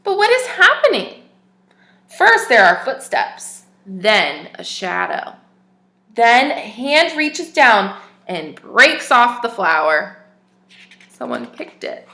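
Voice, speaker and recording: A teacher reading a storybook aloud to little kids, her voice bright and a touch high for a woman. She reads with lively expression and pauses to quiz her listeners. The sound rings in an echoey room. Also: gravelly. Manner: expressive, asks the young listeners questions. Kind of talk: reading a picture book aloud to children.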